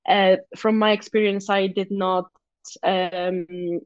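A talkative young woman with a Portuguese accent answers in a relaxed interview, restarting her sentences and filling gaps as she goes. Her voice sits mid-range and sounds close and dry, a little dull and lo-fi. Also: mid-range voice, slow pace, no room sound. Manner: talkative, many restarts and fillers, laughs easily. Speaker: guest athlete. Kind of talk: casual interview chat.

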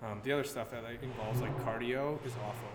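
Brief: man, 30s, rambling podcast guest, mid pitch, noisy roomy brewery recording. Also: steady tone. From Connecticut. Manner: loose and rambling, heavy on "like", quick laughs. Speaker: guest, graphic artist. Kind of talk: casual podcast chat. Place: brewery taproom. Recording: roomy live venue with loud background noise.

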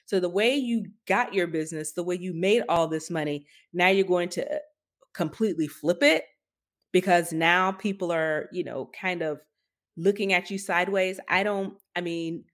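Casting: female tech podcast co-host, lower register, candid and emphatic, conversational delivery. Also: moderate pace. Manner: opinionated, emphatic, skeptical. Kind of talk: tech news commentary, conversational.

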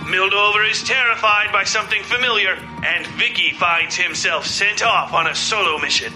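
A woman's voice: mid-range voice, normal speed, bright, forceful tone, poor audio. A woman reading a dramatic scripted recap as host of a fiction podcast, with music under her voice. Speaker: podcast host introducing an episode.

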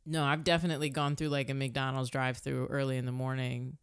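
A woman co-hosting a podcast, with a voice that sits low for a woman. She tells stories in an animated, laughing way. The sound is dry and close, with no room echo. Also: fast, smooth. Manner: laughs often, animated storytelling. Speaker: podcast co-host. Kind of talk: casual chat.

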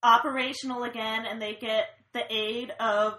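A young woman chatting casually about a movie, making wry, deadpan jokes. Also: a little high, moderate pace. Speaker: co-host of a movie chat. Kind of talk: casual chat. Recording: echoey room.

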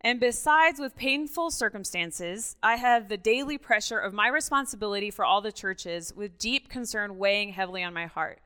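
A middle-aged woman from Mexico, a native Spanish speaker, giving a conversational, animated personal testimony as a guest speaker at church, often checking in with her listeners. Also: mid-range voice, moderate pace, few pauses, clean tone.

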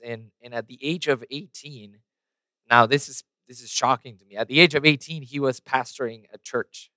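A man's voice: mid-range voice, fairly bright tone, clean tone, no room sound. A man preaching a sermon and praying, losing his voice a little, with many fillers and restarts. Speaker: pastor. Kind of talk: sermon with prayer.

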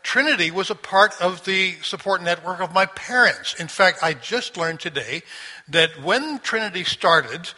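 A man in his sixties speaks to a church congregation with a very bright, forceful voice that rings through a long hall reverb. He sits a little high in pitch and talks conversationally, like a visiting missionary telling his story. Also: animated, unhurried. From grew up in Sri Lanka. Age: 60s. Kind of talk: informal church address to a congregation. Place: large church room, speaking through a microphone.

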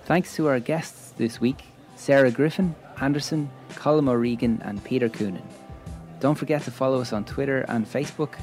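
A man's voice: mid-range voice, normal speed, darkish tone, soft background music. A man hosts the show in a wry, friendly emcee style, steering the questions and wrapping things up. His voice sits in the middle of the range and rings out with the long reverb of a hall. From Ireland. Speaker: podcast host. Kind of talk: hosting a live podcast Q&A.

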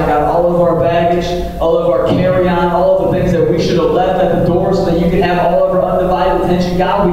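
A man with a hoarse voice preaching an informal, repetitive charismatic church sermon, calling on the congregation to respond. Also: on the high side, dark tone. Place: large reverberant church room. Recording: echoey hall, distant mic, faint noise.

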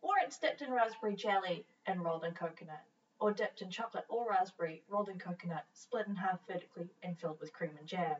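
A woman's voice: on the low side, fast, far from the mic. A young woman with a New Zealand accent chats cheerfully on her podcast, laughing easily and swearing casually. She sits a little back from the mic in a home office. The recording is dull, with a faint hiss behind her. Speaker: podcast co-host. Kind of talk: casual chat. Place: home office near a road.

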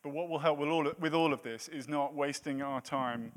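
A man's voice: on the high side, fairly quick. Joking man in his thirties preaching. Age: 30s. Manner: self-deprecating, jokey asides. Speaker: pastor. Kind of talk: sermon.

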